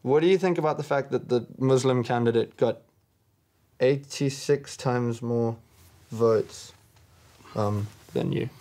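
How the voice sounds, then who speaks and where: A young man with a South African accent, deadpan and awkward as he asks mock-innocent interview questions. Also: mid-range voice, unhurried. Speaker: comedy host interviewer.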